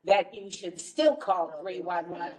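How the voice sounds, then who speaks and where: A woman's voice: fairly low pitch, unhurried, crowd noise. A woman giving a committee report at a community board meeting. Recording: distant mic in meeting room.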